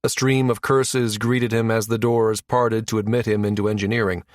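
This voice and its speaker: A man's voice: fairly low pitch, flat delivery, normal speed, no echo, clean studio sound. A man reading a science fiction audiobook as scripted narration.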